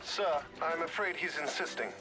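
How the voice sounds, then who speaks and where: An actor playing a polite, deferential artificial-intelligence butler in a video game, formal and measured. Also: male voice, a little high, over music, natural voice. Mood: apologetic.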